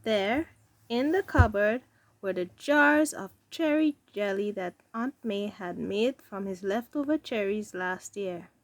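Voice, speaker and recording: A woman reading a children's story aloud as narrator, with deliberate, careful phrasing. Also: medium pitch, dry recording. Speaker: story reader.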